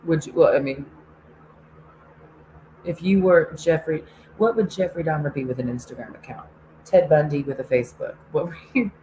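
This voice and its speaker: A woman with a notably low voice rants in a loose, sarcastic monologue, laughing between jabs and swearing freely. Faint noise sits under the recording. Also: normal speed, long pauses, dark tone, rough recording. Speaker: online show host. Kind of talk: casual ranting monologue.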